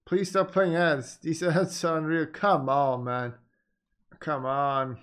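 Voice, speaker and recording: A man's voice: a little high, fairly even intonation, slow, fairly bright tone, clean tone. A man chatting casually on a livestream as he reacts to a broadcast, blunt and exasperated, swearing often. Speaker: live streamer reacting to a tournament broadcast. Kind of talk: casual livestream chat and commentary.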